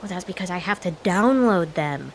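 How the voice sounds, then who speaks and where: A woman's voice: lowish voice, lively intonation, moderate pace. An actress playing an exasperated, sarcastic American daughter in her twenties in a comedic audio drama. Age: 20s. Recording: faint background hiss.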